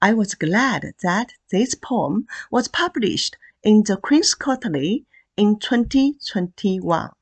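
Very slow, expressive woman reading poetry. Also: medium pitch, breathy edge. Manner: deliberate, pausing between short phrases. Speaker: poet.

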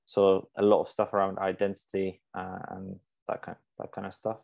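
A man's voice: deep voice, completely flat delivery, moderate pace, creaky, darkish tone. A young man from Poland, a Polish native speaker, talking as a sports psychologist guest on a podcast, thoughtful and hesitant with many fillers and restarts. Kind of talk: casual podcast interview.

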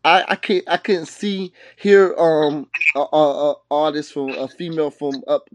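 A man hosts a laid-out, casual hip-hop interview in a fairly high voice. He talks in street slang and often repeats words and phrases. The sound is dry and close, with no room echo. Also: fairly even intonation, measured pace. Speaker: podcast host interviewer.

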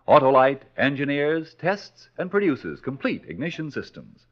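An American man working as a 1940s radio announcer, delivering an emphatic scripted sales pitch. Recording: dull 1940s radio recording.

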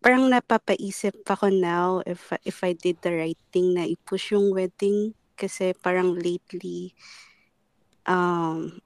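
A woman's voice: on the low side, no room sound. A woman, calling in hesitantly to share a personal problem. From San Francisco. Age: 20s. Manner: hesitant, many fillers. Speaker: caller.

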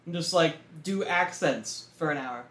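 A man's voice: a little high, fairly bright tone. A young man co-hosting a casual podcast chat, full of fillers like "like" and "you know". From Massachusetts. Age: young adult. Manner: fast, filler-heavy, full of likes and you knows. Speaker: podcast co-host. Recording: roomy echo.

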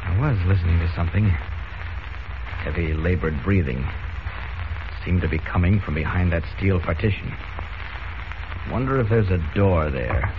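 An actor playing an earnest secret superhero posing as a reporter in a 1940s radio serial, brisk and thinking aloud. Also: male voice, low voice, natural voice. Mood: intent. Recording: noisy, muffled old radio transcription.

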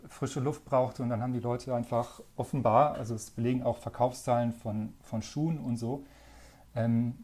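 A man in his thirties from northern Germany, a magazine editor answering podcast questions in a relaxed way, thinking aloud with many fillers. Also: mid-range voice. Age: 30s. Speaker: magazine editor-in-chief, guest. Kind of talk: casual podcast interview.

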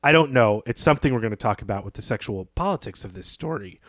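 Male co-host, mid-range voice, dry studio-like sound. Conversational literary analysis, wordy, reasoning out loud. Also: mid-range voice, expressive, fast talker, no echo. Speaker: podcast co-host.

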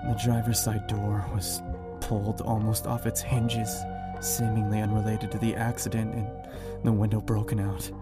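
A man's voice: lowish voice, flat delivery, normal speed, hardly any pauses, loud music behind the voice, natural voice. A man playing an anguished, foul-mouthed survivor of a zombie apocalypse in a horror audio drama. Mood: grim, stunned. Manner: grim, profane, anguished.

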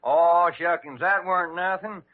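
An actor playing a folksy, boastful Wild cowboy with a rustic western in an old radio drama. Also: male voice, high-pitched, steady tone, normal speed, close to an everyday voice. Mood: folksy complaining. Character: folksy Wild West show cowboy, an ailing braggart. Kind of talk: 1940s-50s American radio drama acting. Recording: tinny, narrow-band old radio recording.

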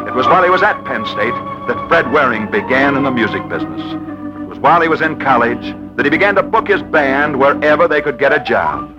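A man hosting in a theatrical, emphatic 1940s radio style, with scripted narration and dramatic pauses. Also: mid-range voice. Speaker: radio host and storyteller. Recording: muffled old radio broadcast, faint music.

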